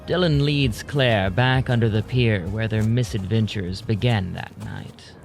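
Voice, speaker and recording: A man narrating an audio drama in a deliberate, brooding, scripted storytelling style. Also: on the low side, creaky. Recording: loud music bed under voice.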